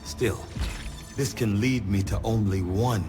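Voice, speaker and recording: An actor playing a formal, analytical scientist in a video game cutscene, speaking precisely and with measure. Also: male voice, on the low side, slow. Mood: grave, analytical. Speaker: chief scientist. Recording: music behind the voice.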